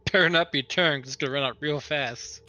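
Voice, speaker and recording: A man's voice: fairly high pitch, brisk, bright, forceful tone, dry recording. A man talking casually as a player in a tabletop roleplaying session. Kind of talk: casual tabletop game chat. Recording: dull lo-fi audio, faint noise.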